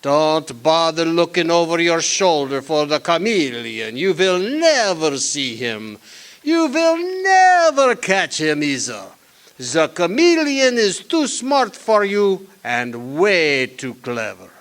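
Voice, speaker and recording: High-voiced, taunting little German crook. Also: male voice, big pitch swings, slow, fairly bright tone, exaggerated voice. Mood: boastful, sly. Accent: put-on German. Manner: inverted syntax, taunting, theatrical. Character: natty little German criminal henchman, sly, menacing, comically indignant. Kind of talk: comic old-time radio detective drama acting.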